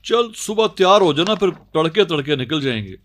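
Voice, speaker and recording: A man's voice: on the high side, big pitch swings, a little fast, fairly bright tone. A man playing a hearty village uncle in a radio drama. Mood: hearty, coaxing. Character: hearty village uncle taking his nephew along.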